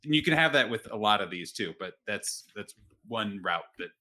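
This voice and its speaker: Guy player brainstorming game world stuff casually, bright forward voice, a bit low. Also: expressive, moderate pace. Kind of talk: casual collaborative storytelling chat.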